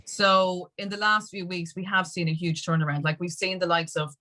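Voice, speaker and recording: Irish female interviewee, somewhat low-pitched, candid and emphatic, conversational storyteller who acts out what people said. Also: flat delivery, moderate pace, pushed and bright. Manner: emphatic, rambling storytelling with acted-out quotes. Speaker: guest, music industry campaigner.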